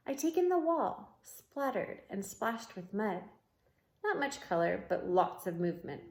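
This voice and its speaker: A woman reading a storybook aloud like a teacher, expressive and adding comments for young listeners. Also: medium pitch, a little slow. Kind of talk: read-aloud storybook narration with asides. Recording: roomy, echoing room sound.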